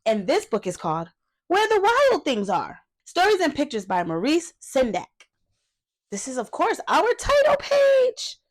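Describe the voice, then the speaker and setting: A woman's voice: high voice, wildly swooping pitch, a little slow. A woman hosting a read-aloud for young children, reading a picture book and talking to the listeners with questions and little asides.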